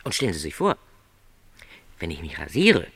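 An actor playing a gentle middle-aged travelling salesman in a literary radio play, earnest and reassuring in formal wording. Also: sits low, huge pitch swings, moderate pace, creaky, breathy edge, no room sound, heavily acted.

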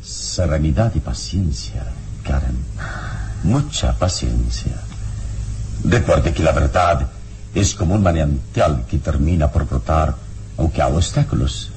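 A man playing a serene, wise hero in a radio serial, speaking in a measured, solemn, calming way. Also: very deep voice, a little hiss or hum, heavily acted. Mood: calm, reassuring. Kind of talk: old radio serial acting.

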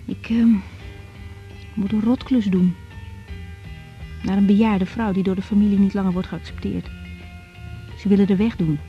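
An actress playing a reflective, self-doubting young doctor in a radio drama, musing inwardly as in a diary. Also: female voice, medium pitch, fairly even intonation, moderate pace, pauses often, soft background music, natural voice. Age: young adult. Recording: dull, band-limited sound.

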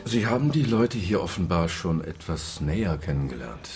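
Small-town male cop, low voice, dry and sardonic, radio crime play. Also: big pitch swings, normal speed, darkish tone, breathy edge, soft background music, heavily acted. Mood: matter-of-fact, dry. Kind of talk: radio crime drama acting.

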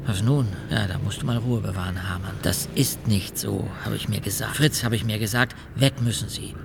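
A condemned murderer in a radio play, his low voice full of gravel. He rambles colloquially, agitated and full of self-pity, justifying himself to a guard. Noisy ambience sits behind him. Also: moderate pace. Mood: agitated, boastful. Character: condemned serial killer confessing to a guard, agitated, self-pitying. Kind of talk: radio drama monologue.

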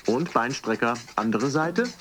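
An actor playing a fitness coach in a radio play, earnestly intoning exercise and relaxation instructions. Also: male voice, medium pitch, expressive, a little slow, natural voice. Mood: instructive.

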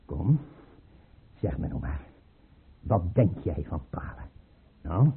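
An actor playing a paranoid, scornful tsar in a radio play, imperious and abrupt, with a husky, rough voice. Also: male voice, low-pitched, very animated, takes it slowly, exaggerated voice. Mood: mocking, suspicious. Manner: imperious, abrupt, mocking, swinging from mutter to outburst. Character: paranoid tyrant tsar, erratic, scornful, suspicious father. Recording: muffled, tinny old radio play recording.

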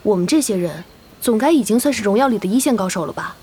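Husky, questioning guild woman. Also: medium pitch, lively intonation, fast, dark tone. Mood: doubtful, probing. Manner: questioning, reasoning. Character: serious, analytical female guild member, doubtful. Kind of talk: audio drama acting.

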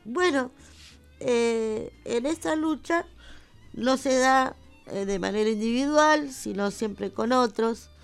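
A woman co-hosting a radio talk show, giving measured commentary. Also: fairly high pitch, creaky, smooth. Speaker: radio co-host. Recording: music under the voice. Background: music.

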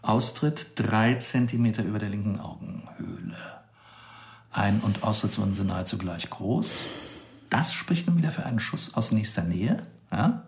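An actor playing a chatty, pedantic forensic pathologist in a naturalistic radio crime drama, explaining with little asides. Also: male voice, lowish voice, moderate pace, creaky, reverberant. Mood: matter-of-fact, explanatory.

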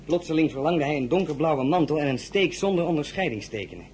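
An actor playing a proud, loyal count serving as a royal military aide, formal and earnest, in an audio drama. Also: male voice, a little high, expressive, fast, darkish tone, slight background noise, natural voice. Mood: solemn, reporting. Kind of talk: Dutch audio drama acting.

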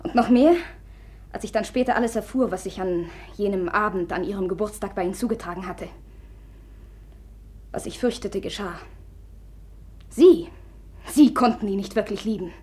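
A woman's voice: medium pitch, moderate pace, long pauses, darkish tone, natural voice. An actress playing Aglaya, a proud and determined young woman, speaking in a commanding, cutting way in a 1950s radio play. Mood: cold determined.

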